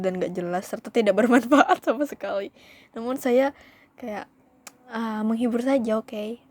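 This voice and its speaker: A woman's voice: medium pitch, a little mellow. A young woman vlogger telling a storytime in a casual, chatty way, often calling her viewers "guys" and slipping into English phrases.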